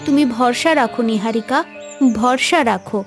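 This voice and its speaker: A woman voicing a gentle, reassuring confidante in a fairy-tale cartoon, soothing and coaxing. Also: fairly high pitch, moderate pace, faint music behind, close to an everyday voice. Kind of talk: Bengali fairy-tale cartoon dub acting.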